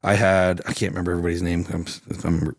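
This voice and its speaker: Raspy canadian guy, kinda low voice, rambling chat, lots of ums and restarts. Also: flat delivery, fast talker, dry recording, studio quality. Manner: rambling, many restarts and fillers. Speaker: singer-songwriter guest. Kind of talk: casual interview chat.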